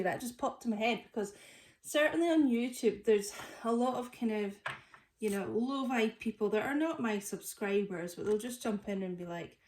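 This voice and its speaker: A woman's voice: fairly high pitch, a little mellow. A woman with a stuffy nose from a cold, chatting casually through a tarot reading with lots of fillers. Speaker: tarot reader. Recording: echoey room.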